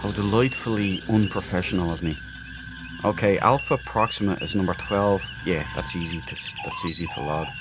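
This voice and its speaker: A man's voice: deep voice, moderate pace. A man giving casual, playful commentary as he hosts a video game playthrough. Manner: playful, jokey reactions. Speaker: let's play gamer, host. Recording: game music behind the voice.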